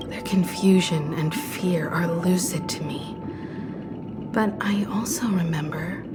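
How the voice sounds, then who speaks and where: Casting: enigmatic game wanderer, calm and contemplative, unhurried soft speech in a lowish register. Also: female voice. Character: calm, pensive, enigmatic woman wanderer with quiet sympathy. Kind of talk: scripted video game dialogue.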